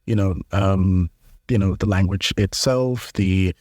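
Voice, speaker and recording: Man, low, dry voice. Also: takes it slowly, no echo. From South Africa. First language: isiZulu. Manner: relaxed, explanatory, with many fillers and restarts. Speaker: guest, linguist and musician. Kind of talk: casual interview chat.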